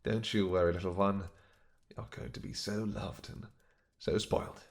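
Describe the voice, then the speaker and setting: A man playing a weary, gentle vampire king who speaks softly and haltingly to his beloved in a romantic fantasy audio roleplay. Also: low voice, steady tone, gravelly. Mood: doting, drowsy. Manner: soft, halting, gentle, intimate. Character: weary, tender vampire king, doting on his pregnant wife.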